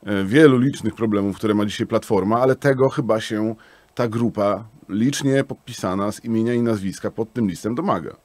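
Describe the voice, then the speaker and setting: A man's voice: fairly low pitch, brisk, a little mellow, no room sound. A man in his forties hosting a radio interview, asking probing, challenging questions. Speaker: radio host. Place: small radio studio with acoustic panels. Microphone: studio microphone on arm.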